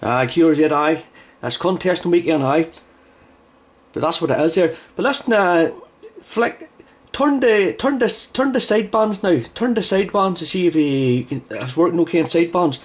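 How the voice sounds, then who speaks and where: Higher-voiced man chatting on amateur radio. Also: moderate pace. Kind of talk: casual two-way radio chat.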